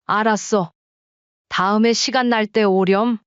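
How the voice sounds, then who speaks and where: A woman playing a gentle, worried mother. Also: medium pitch, steady tone, measured pace, clean tone, no room sound, dull audio, lightly acted. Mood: concerned. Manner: gentle, soothing, concerned. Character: caring middle-aged mother, gentle and worried.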